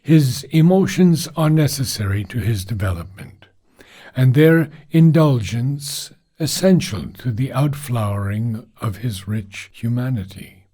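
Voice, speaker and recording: A man reading formal philosophical prose aloud in a deliberate, measured way, pausing between short phrases. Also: mid-range voice, expressive, warm tone. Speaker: reader of a philosophical book.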